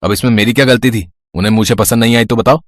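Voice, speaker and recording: A man voicing a foolish, overconfident young barber's son, boastful and earnest, in a Hindi animated story. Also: mid-range voice, fast talker, dry recording, clean studio sound, natural voice. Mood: defensive.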